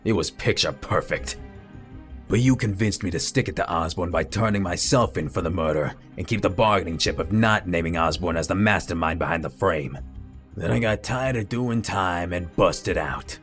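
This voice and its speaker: A man's voice: deep voice, normal speed, fairly bright tone, soft background music. A man playing a gloating, wisecracking supervillain henchman in a comic dub, smug and taunting.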